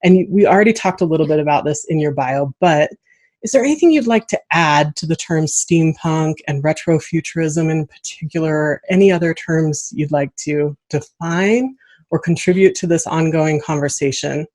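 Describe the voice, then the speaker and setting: A woman's voice: sits low, moderate pace, a little mellow, rough recording. A woman hosting a podcast interview in a casual, enthusiastic and encouraging way.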